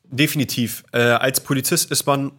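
A young man from Berlin, a police officer, answering questions in a relaxed and earnest way as a podcast guest. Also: mid-range voice, moderate pace. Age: young adult. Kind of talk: podcast interview.

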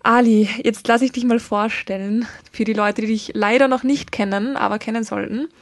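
Woman, fairly high voice, friendly interview host, dry close sound. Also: steady tone, normal speed, dry recording. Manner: friendly, encouraging listener. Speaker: podcast host. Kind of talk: casual interview.

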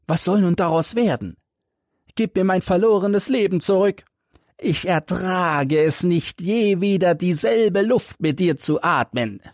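A man's voice: high voice, a little slow, no room sound, clean studio sound, voice pushed up. A man voicing a colonel's furious, embittered wife in for an audiobook, agitated and reproachful. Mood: bitter, desperate. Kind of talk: audiobook character acting.

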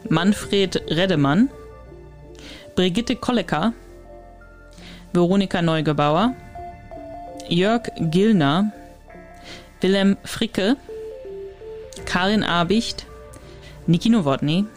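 A woman's voice: fairly low pitch, takes it slowly, long pauses, a little mellow, soft background music. Matter-of-fact woman quizmaster. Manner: matter-of-fact, terse confirmations. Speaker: podcast host, quizmaster. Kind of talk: casual chat, reading out a name list.

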